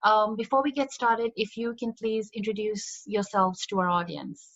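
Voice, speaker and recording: A woman's voice: mid-range voice, steady tone, moderate pace, fairly bright tone, no echo. Woman hosting an interview, dry dull sound.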